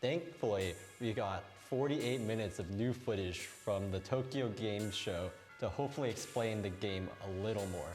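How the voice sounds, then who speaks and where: A young man who is not a native English speaker, reading gaming news in a stiff, flat way as co-host. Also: fairly low pitch, moderate pace, hardly any pauses, faint music behind. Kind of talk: scripted news read.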